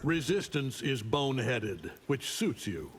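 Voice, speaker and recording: An actor playing a stern, proud alien warrior of an empire in a video game, haughty and threatening. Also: male voice, mid-range voice, sing-song, a little slow, husky. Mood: haughty threat. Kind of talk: video game character dialogue. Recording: long reverb, faint background noise.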